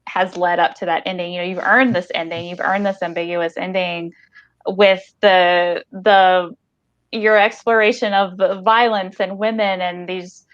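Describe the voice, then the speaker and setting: A woman's voice: on the low side, steady tone, a little slow, no echo. Female podcast co-host, casual literary chat, conversational, dry but slightly muffled sound. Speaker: podcast co-host discussing a short story. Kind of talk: casual book discussion.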